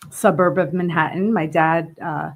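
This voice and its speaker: A woman in her fifties with a somewhat low voice, talking easily as an interview guest. She laughs often and trails off with small fillers as she tells her story. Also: fairly even intonation, unhurried. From northern New Jersey, USA. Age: 50s. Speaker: interview guest, brewery co-owner. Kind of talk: casual interview.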